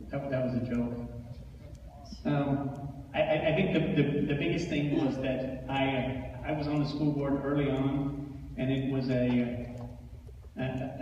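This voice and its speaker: A middle-aged man speaks haltingly at a forum, with a very even, mellow delivery. The sound is distant and swimming in echo. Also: medium pitch, a little hiss or hum. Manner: halting, repeats words. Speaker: school board applicant, former member. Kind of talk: answering at a public forum. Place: large echoey room at public forum. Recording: echoey hall, far off, dull.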